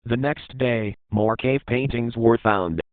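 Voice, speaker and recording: A man's voice: mid-range voice, takes it slowly, no echo, narrow, tinny audio. A man reading scripted horror narration in the manner of a documentary report.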